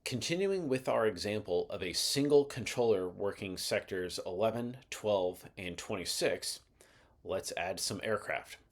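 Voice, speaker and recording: A man's voice: on the low side, expressive, unhurried. A man giving scripted instructional narration as the host of an explainer video, in a methodical, explanatory manner. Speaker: host of an aviation explainer channel.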